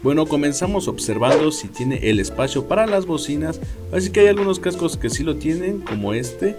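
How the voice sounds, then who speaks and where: A man's voice: a little high, animated, moderate pace, over loud music. A man with a Mexican Spanish accent casually unboxing and explaining motorcycle gear, chatty and full of slang and filler phrases. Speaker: moto vlogger.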